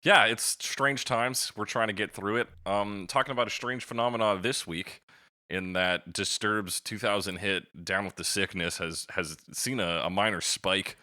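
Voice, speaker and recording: A man's voice: on the low side, steady tone, moderate pace, pushed and bright, dry recording, studio quality. A man hosting a podcast in casual, conversational chat. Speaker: podcast host.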